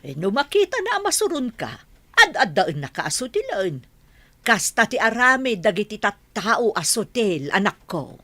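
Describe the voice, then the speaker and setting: Woman acting a concerned mother, lively intonation. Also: medium pitch, moderate pace. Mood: coaxing. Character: patient, concerned mother advising her young child. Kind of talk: Filipino comedy radio drama acting.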